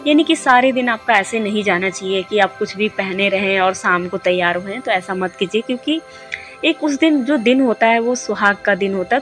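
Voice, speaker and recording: Hoarse woman, devotional channel host, chatty and repetitive, faint music behind. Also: mid-range voice. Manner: chatty, repetitive, addresses viewers as friends. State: hoarse, unwell. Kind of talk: casual spoken ritual guide.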